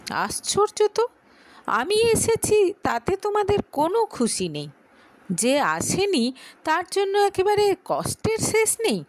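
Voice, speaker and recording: A woman voicing a wounded, quick-tempered young estranged wife in an audio story, aggrieved and emotional. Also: very high voice, huge pitch swings, no echo, pitched above the performer's own voice, heavily acted, rough recording. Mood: indignant.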